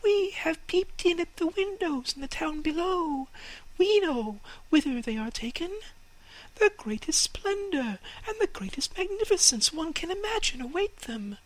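Whispery chirping sparrows. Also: female voice, very high-pitched, expressive, a little slow, voice pushed up, low-quality recording. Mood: eager. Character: chirping gossipy little sparrows.

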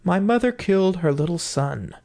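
A man's voice: on the high side, animated, moderate pace, a little mellow, pitched above the performer's own voice, lightly acted. A man chanting as a magical songbird. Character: magical songbird, eerie and accusing.

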